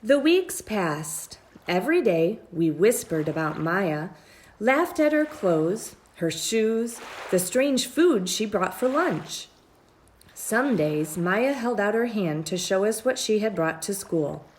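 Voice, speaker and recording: Woman reading a kids book out loud, really slow and super expressive, drawn out phrases. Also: medium pitch, a little hiss or hum. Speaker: storybook reader. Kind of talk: expressive read-aloud of a children's story.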